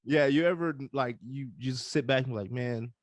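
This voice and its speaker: A loud, hyped man hosting a casual podcast interview with a fellow boxing coach. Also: mid-range voice, normal speed, no echo, studio quality.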